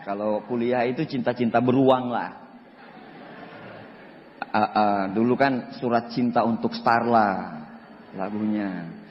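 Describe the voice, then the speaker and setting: A man in his forties with a high voice speaks to a seminar crowd, boastful and joking, pushing his key points hard. His voice rings with the long reverb of a large hall. The recording sounds dull and lo-fi. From Central Sulawesi, Indonesia. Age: 40s. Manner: joking, boastful, emphatic, with rising pushes. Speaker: motivational speaker. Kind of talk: seminar talk to an audience.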